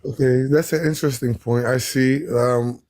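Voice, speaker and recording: Young man, twenties, polite, relaxed caller, mid-pitched, dry sound. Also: moderate pace, no echo. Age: 20s. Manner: polite, easygoing, reassuring. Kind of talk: casual call-in chat.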